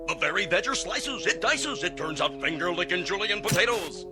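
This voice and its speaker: An actor playing a pushy male TV pitchman in a 1960s drama, selling a gadget with punchy delivery. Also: expressive, moderate pace, over music. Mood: salesy.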